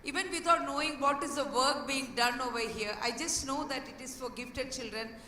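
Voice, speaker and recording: Hoarse woman speaking in an echoing hall. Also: high-pitched, moderate pace, bright, forceful tone. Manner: earnest, with fillers and restarts. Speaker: guest speaker, school head. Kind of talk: speech at an event. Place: large hall. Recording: echoey hall, distant mic, faint noise.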